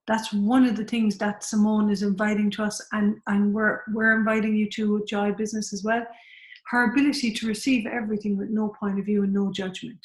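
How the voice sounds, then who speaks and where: A woman co-hosting a book club in an informal, motivational style, speaking emphatically and repeating phrases to make her point. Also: mid-range voice. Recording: roomy, echoey sound.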